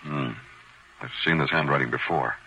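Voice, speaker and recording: A man's voice: rumbling low, moderate pace, sharp tone, heavily acted. A man playing a tough, sardonic police detective with a clipped, hard-boiled delivery in a 1940s radio drama. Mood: sardonic, curt. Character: tough, sardonic male police detective narrating his case. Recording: very noisy, crackly 1940s radio recording.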